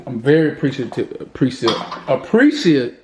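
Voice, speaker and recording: Male podcast host, relaxed and stumbling, in an echoey room with faint noise. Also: mid-range voice. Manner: easygoing, halting with fillers and restarts. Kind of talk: casual chat.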